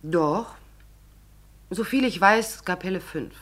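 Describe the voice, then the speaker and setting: A woman's voice: on the low side, lively intonation, slow pace, a bit bright, exaggerated voice. An actress playing a brusque, callous, gossipy woman tenant in a 1940s German radio drama, blunt, offhand and sardonic. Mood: mocking.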